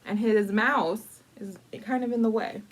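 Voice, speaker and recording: A woman hosting a casual storytime, chatty and informal, with playful, dramatic emphasis and drawn-out words as she tells a picture-book story. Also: a little high, fairly even intonation, slight background noise. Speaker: librarian storytime host.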